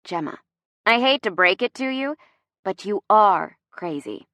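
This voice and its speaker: A woman's voice: mid-range voice, animated, measured pace, fairly bright tone, no echo, studio quality. A woman voicing a young woman who is a blunt, playful and supportive best friend in a novel. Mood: teasing.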